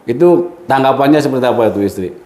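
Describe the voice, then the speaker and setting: A man hosting a podcast interview, listening closely with steady hums of agreement and asking probing questions. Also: medium pitch, fast talker, roomy sound. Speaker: podcast host.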